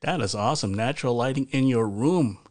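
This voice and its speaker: A man's voice: medium pitch, animated, brisk. Guy hosting a chill podcast from his bedroom on a video call, lots of uhs, kinda rambly. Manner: chatty, rambling with many fillers and restarts. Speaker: podcast host. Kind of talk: casual chat on a live video podcast. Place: bedroom at home.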